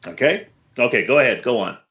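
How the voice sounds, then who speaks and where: A man's voice: medium pitch, lively intonation, fairly quick, a bit bright, natural voice. Flustered radio-comedy husband with swooping inflections, acted by a man. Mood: sly, wry. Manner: flustered, incredulous, wry. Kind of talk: re-enacted 1940s radio comedy acting. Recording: roomy, echoing voice recording.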